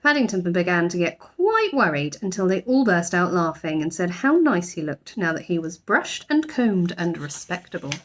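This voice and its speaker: A woman's voice: on the low side, big pitch swings, moderate pace, hardly any pauses. A woman narrating a children's storybook expressively, like a teacher reading aloud.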